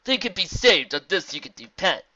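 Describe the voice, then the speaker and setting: A woman voicing a wise, calm zebra sorceress who speaks in deliberate, formal rhymed phrases. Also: lowish voice, dry recording, highs cut off, voice pushed up, full character voice. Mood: gracious.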